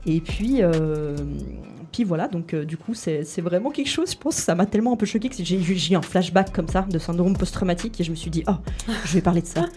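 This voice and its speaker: A woman's voice: lowish voice, animated, quick pace, dark tone, faint music behind. A woman in her twenties, born in Italy and raised in Switzerland, chatting casually at a radio round table and filling her talk with hesitations. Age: 20s. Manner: chatty, self-deprecating, many fillers. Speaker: radio show columnist. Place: radio studio.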